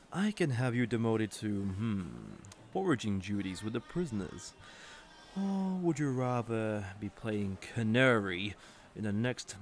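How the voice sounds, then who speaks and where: An actor playing a haughty, sardonic villain commander in a roleplay audio drama, condescending and drawling, with mock-sweet menace. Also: male voice, on the low side.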